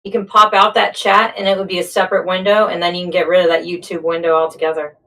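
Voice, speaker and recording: A woman's voice: lowish voice, level tone, quick pace, a bit bright, a little hiss or hum, low-quality recording. A woman from California in a casual group chat, teasing the others and explaining things.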